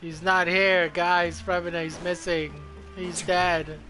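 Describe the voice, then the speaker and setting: A woman's voice: on the low side, little pitch movement, slow, a bit bright. A woman giving casual, crude, joking commentary with theatrical asides while playing a game. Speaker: streamer reacting to a game. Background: game music.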